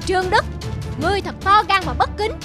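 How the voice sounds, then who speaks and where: Old cartoon emperor, commanding and touchy, bit high woman doing him, music faint. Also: quick pace. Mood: furious. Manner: commanding, flares into angry shouting. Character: proud hot-tempered old male emperor. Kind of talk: cartoon voice acting.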